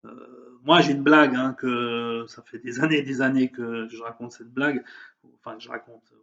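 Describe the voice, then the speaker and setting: A man's voice: medium pitch, fairly even intonation, normal speed, bright, forceful tone, highs cut off. Heated man ranting in a monologue. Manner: emphatic, heated, hesitant with many fillers. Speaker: vlogger. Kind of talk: opinion monologue on current events.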